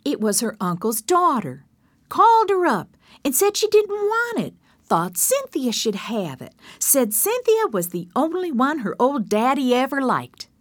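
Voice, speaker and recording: A woman's voice: sits high, sing-song, unhurried, no echo, voice pushed up, heavily acted. A woman voicing a chatty, eager gossip who loves passing on news. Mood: gossipy.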